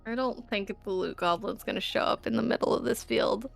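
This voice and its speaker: A woman's voice: on the high side, a little fast, vocal fry, soft background music. A woman chatting casually as a tabletop game player, describing how her character reacts.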